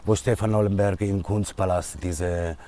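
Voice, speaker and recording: Low-voiced Italian man, halting German. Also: fairly even intonation, mellow, a little hiss or hum. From Italy. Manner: halting, searching for words in German. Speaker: DJ and music producer. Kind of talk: casual interview answers.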